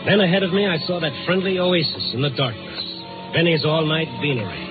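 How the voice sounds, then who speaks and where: A man's voice: on the high side, brisk, close to an everyday voice. An American actor playing a world-weary night reporter in a 1950s radio drama, wisecracking as he narrates. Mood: brooding, wry. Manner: hard-boiled, wisecracking narration. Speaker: actor in the lead role. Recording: tinny old radio recording with loud music.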